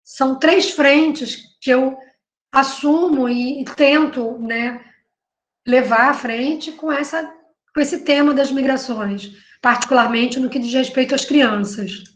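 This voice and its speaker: High-voiced Brazilian woman lecturing. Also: steady tone, takes it slowly. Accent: Brazilian Portuguese. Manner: conversational, frequent "né?" tags and self-corrections. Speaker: university professor and researcher. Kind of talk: academic panel talk presenting her work. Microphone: video call. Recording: echoey room.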